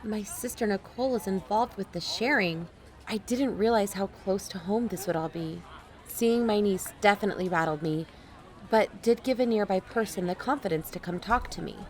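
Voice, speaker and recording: An actress voices a watchful female investigator with a medium-pitched voice. She narrates her covert work in a measured, wry, observant first-person style for an audio drama. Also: close to an everyday voice.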